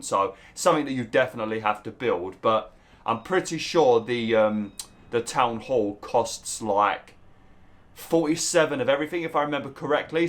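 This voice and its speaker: A young British man with a slightly rough, hoarse throat, chatting casually through gameplay commentary and breaking into mild exclamations. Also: medium pitch, fairly bright tone. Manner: chatty, swears mildly, bursts into exclamations at the game. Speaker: let's play host.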